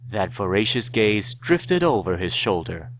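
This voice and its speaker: A man narrating a scripted story in a measured, dramatic storytelling manner. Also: lowish voice, big pitch swings, a little hiss or hum.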